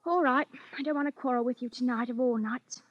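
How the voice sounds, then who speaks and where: An actress playing a plaintive, affectionate, nagging young English wife, chatty and quick to protest, in 1940s radio drama style. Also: female voice, high voice, steady tone, dull audio. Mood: querulous. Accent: British. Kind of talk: 1940s radio suspense acting.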